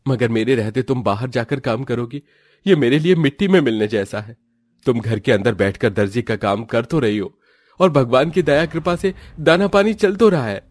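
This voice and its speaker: A man's voice: medium pitch, lively intonation, normal speed, darkish tone, dry recording, studio quality, natural voice. A man voicing a poor, hard-working, proud husband in a cartoon family drama, earnest and aggrieved. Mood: wounded pride.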